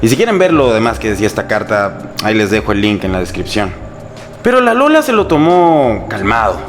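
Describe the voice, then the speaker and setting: A Mexican man with a fairly low voice tells history as a scripted, humorous narration. His delivery is irreverent and colloquial, with a sarcastic edge. Music plays faintly behind him. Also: sing-song, a little fast. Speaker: host and narrator. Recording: music bed under the voice. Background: background music.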